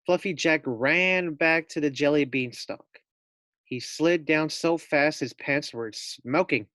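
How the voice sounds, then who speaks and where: A man reading a children's storybook aloud expressively as a teacher, pausing to put questions to young listeners. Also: on the high side, sharp tone, no echo, studio quality.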